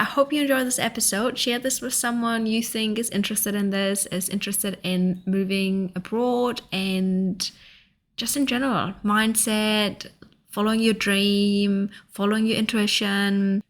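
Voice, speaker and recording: A young woman hosting a podcast, upbeat and encouraging as she chats and introduces her guest. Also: mid-range voice. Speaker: podcast host. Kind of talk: casual podcast chat.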